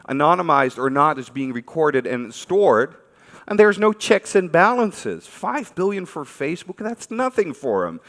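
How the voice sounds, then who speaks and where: A man's voice: a little high, fairly bright tone. A man from the Netherlands with a Dutch accent gives an informal conference talk, speaking conversationally and putting questions to his listeners. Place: large conference hall. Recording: reverberant hall stage recording.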